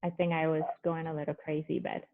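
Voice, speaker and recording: A woman's voice: low voice, little pitch movement, fairly quick, a little hiss or hum. Colombian woman on a thin call line. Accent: Colombian Spanish. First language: Spanish. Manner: conversational, many fillers and restarts. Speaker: guest, business owner. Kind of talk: casual video-call conversation. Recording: phone-like thin call audio.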